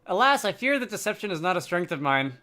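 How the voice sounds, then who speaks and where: A man's voice: high voice, sing-song, quick pace, bright, forceful tone, exaggerated voice. A man voicing a courtly, self-effacing old knight in a game, with formal archaic phrasing. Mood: contrite.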